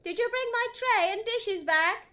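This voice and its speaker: A woman's voice: extremely high pitch, unhurried, sharp tone, full character voice. A woman playing a motherly old tailor in an audiobook, fussing and chiding but caring. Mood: calling out. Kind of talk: dramatised audiobook character dialogue.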